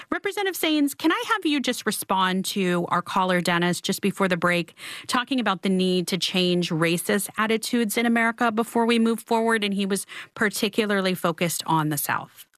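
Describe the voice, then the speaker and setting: A woman's voice: medium pitch, a bit bright, dry recording. Female radio host with dry studio sound. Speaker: radio talk show host. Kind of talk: hosting a panel discussion.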